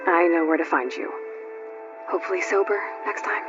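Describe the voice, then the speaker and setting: A woman's voice: lowish voice, brisk, highs cut off, over music, close to an everyday voice. An actress playing a determined, blunt young woman warrior in a video game. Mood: reserved, gentle.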